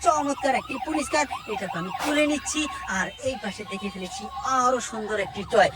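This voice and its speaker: High-voiced woman presenting toys, exclamatory. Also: expressive, a little fast. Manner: exclamatory, with repeated wows and short phrases. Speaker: presenter of a toy video. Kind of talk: toy showcase narration for viewers.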